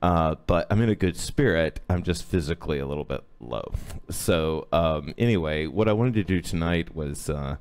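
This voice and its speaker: A man in his thirties teaching a live-streamed hoop dance class from his home, sick with a lowered voice, rambling with many fillers. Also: normal speed, hardly any pauses, a little mellow, smooth. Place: home room indoors. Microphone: webcam at a few feet.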